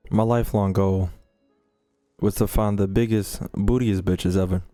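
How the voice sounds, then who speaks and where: A man's voice: fairly low pitch, fairly even intonation, unhurried, warm tone, soft background music. A man streaming a game with casual, slangy, profane and joking reaction commentary. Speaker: gaming streamer.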